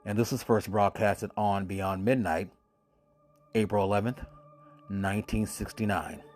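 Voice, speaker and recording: A man's voice: lowish voice, fairly even intonation, darkish tone, faint music behind. A man hosting a horror radio podcast, introducing episodes in an enthusiastic, emphatic way. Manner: enthusiastic, emphatic, drawn-out words. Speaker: podcast host.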